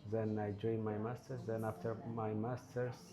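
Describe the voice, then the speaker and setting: A man, answering interview questions in a measured, earnest way. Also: on the low side, level tone, music in the background. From Ethiopia. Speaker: guest. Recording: crowd chatter in background.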